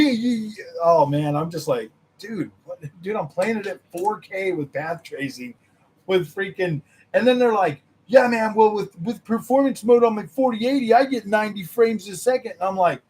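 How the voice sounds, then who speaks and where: A young man hosting a gaming channel, chatting casually with his viewers, animated and laughing, his voice a little worn from nearly losing it. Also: husky. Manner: animated, laughing, mimics others in a high voice. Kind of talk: casual livestream chat with viewers.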